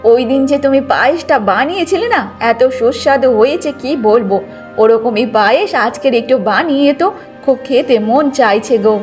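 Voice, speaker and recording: A woman's voice: high voice, animated, normal speed, darkish tone, soft background music. A woman voicing a bossy, spiteful old mother-in-law who wheedles and nags in a cartoon moral tale. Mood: spiteful, gloating. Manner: wheedling, nagging.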